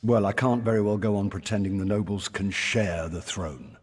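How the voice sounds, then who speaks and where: Fantasy game high king: low, crisp voice, weary and wry, measured delivery in a reverberant hall. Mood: deliberating. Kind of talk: fantasy video game voice acting.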